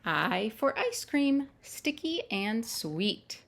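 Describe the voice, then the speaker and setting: A woman reading picture books aloud to young children in simple, measured phrases, with little asides to her listeners. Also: on the high side, big pitch swings, gravelly, a bit bright, a little hiss or hum. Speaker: children's storytime reader. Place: at home.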